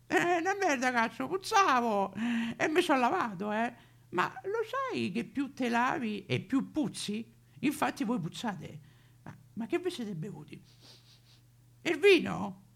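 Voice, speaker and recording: High, creaky drunken vagrant. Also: male voice, fairly bright tone, full character voice. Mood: rambling, tipsy. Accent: Roman Italian. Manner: rambling, halting, outbursts of swearing and laughter. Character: lonely toothless old male drunk vagrant, rambling and wistful. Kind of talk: theatrical comic monologue.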